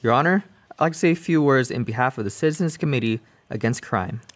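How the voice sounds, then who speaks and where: A young man playing an earnest, formal male district attorney who speaks with a few halting stumbles, in a comic radio play. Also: mid-range voice, expressive, lightly acted. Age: young adult.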